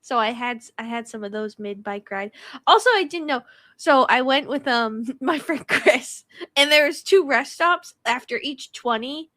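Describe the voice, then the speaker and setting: A young woman co-hosting a podcast, casual and playful, teasing her co-host with quick jokes. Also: sits high, animated, sharp tone, no room sound, studio quality. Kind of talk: casual chat.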